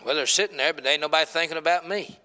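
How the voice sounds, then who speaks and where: A man's voice: fairly high pitch, racing, bright, forceful tone, clean tone, in a large hall. A man voicing God the Father as a folksy, wry onlooker searching for a church to attend.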